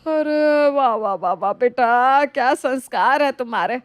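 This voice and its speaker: A woman's voice: high-pitched, unhurried, dry recording. A woman playing an older mother-in-law in a moral story, effusive and complaining in an exaggerated way. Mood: gushing. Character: nagging older mother-in-law, gushing over niece, scolding her own. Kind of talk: animated moral story dialogue.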